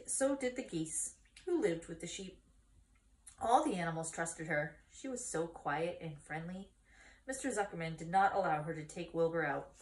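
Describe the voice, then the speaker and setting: Lady reading a kids chapter book out loud, expressive, echoey room. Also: fairly low pitch. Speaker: story reader. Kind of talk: children's storybook read aloud. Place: small indoor room against a bare wall.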